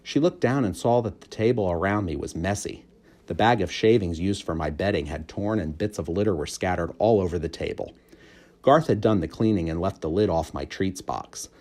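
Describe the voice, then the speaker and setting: A man reading a children's book aloud as an expressive narrator. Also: deep voice, moderate pace. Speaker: storybook reader.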